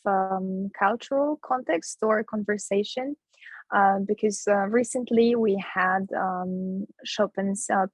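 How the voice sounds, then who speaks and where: A woman's voice: mid-range voice, no echo, poor audio. A woman interviewing a guest, asking questions hesitantly with fillers.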